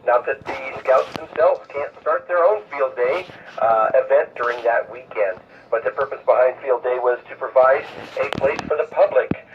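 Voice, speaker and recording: A man's voice comes through a narrow, phone-like radio channel, sounding away from the mic. He hosts an amateur radio net informally, with hesitant, halting phrasing. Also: mid-range voice, far from the mic, a little hiss or hum. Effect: amateur radio transmission. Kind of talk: informal radio net check-ins and announcements.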